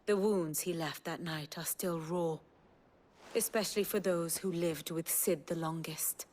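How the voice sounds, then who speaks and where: A woman playing a composed, wry scholarly strategist in a video game, speaking in a measured, eloquent, theatrical way. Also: on the low side, steady tone, a little mellow. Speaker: strategist and lorekeeper. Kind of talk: dramatic video game acting, lecturing. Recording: music behind the voice.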